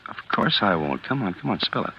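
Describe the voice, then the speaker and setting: Streetwise young ex-convict, American, extremely low voice, tough and wary; muffled vintage radio drama. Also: male voice, big pitch swings, fairly quick, creaky, slight background noise, full character voice. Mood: concerned. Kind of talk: 1940s radio acting.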